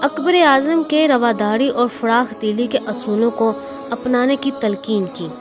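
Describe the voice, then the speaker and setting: A woman with a fairly high voice delivers scripted historical narration. Her manner is formal and declamatory, like a prepared speech. Music plays clearly behind her. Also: a little slow. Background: clear background music.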